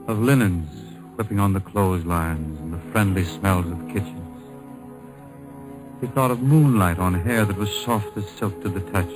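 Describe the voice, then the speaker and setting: Solemn storyteller, lowish voice, lyrical, dramatic, vintage radio drama narration, music underneath. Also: male voice, rough recording. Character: solemn storyteller narrating a tragic patriotic tale.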